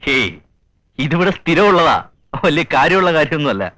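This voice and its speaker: A man's voice: a little high, lively intonation, brisk, sharp tone. An actor playing a bantering man in a comedy film. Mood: dismissive. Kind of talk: Malayalam comedy film acting.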